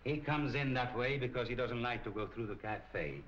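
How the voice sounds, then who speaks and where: An actor playing a slick middle-aged crook with a smooth, controlling manner, in 1940s crime film style. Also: male voice, medium pitch, normal speed, fairly bright tone, natural voice. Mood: smooth, guarded. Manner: smooth, controlling, veiled threats. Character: slick, menacing male cafe owner and smuggling crook. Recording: dull old film soundtrack, music behind.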